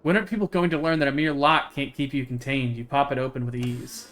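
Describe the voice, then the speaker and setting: A man's voice: medium pitch, steady tone, fairly quick, a bit bright. A man livestreaming a game, chatting casually in a jokey, slangy way and reading its text aloud. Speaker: game streamer.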